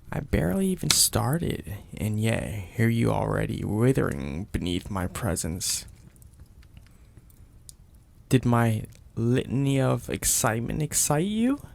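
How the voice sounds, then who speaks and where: A man playing a possessive, domineering mafia boss in an intimate ASMR roleplay, speaking deliberately and with teasing menace in a gravelly, husky, breathy voice. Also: mid-range voice, a little mellow, exaggerated voice. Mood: taunting, threatening. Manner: slow, deliberate, menacing, florid wording.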